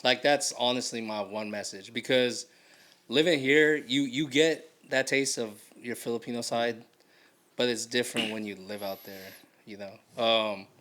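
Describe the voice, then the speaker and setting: A man in his thirties with an American accent tells stories at an easy pace as a podcast guest, his voice sitting at an ordinary middle pitch. He grew up speaking Tagalog first and laughs often as he talks. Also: measured pace. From United States. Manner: relaxed storyteller, laughs often, uses slang. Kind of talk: casual chat.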